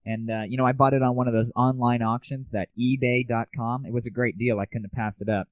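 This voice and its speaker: A man's voice: mid-range voice, fairly even intonation, quick pace, no echo. A man hosting an internet audio show, delivering a crude, sarcastic and casual comic rant. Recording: narrow, tinny lo-fi internet audio.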